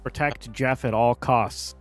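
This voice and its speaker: A man's voice: mid-range voice, fairly even intonation, takes it slowly, faint music behind. A man playing a tabletop game, offering brief practical plans. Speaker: player.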